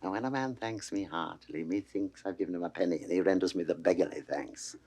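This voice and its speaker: An actor playing a melancholy, mocking philosophical lord in a 1960s classical Shakespeare audio drama, with a theatrical, wry drawl. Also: male voice, low-pitched, fast, darkish tone. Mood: mocking, sardonic.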